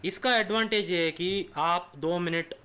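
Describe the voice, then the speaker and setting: Man speaking halting Hindi with English fillers. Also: high-pitched, pushed and bright, smooth. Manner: halting Hindi with many "you know" fillers and English words. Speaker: podcast co-host. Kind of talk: casual podcast chat.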